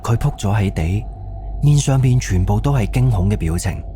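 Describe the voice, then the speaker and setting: Male narrator, Cantonese accent, lowish mellow voice, suspense ghost story narration, soft music behind. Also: animated, moderate pace. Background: background music.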